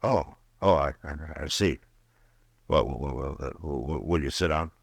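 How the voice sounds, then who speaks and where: Radio western lead: a kindly, hesitant marshal, extremely deep voice, dry close mic. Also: male voice, moderate pace, gravelly, dry recording, heavily acted. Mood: hesitant, gentle. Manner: stammering, hesitant, gentle. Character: weary, kindly frontier marshal, grown man. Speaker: old-time radio drama actor and in-story narrator. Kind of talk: 1950s radio western acting and first-person narration.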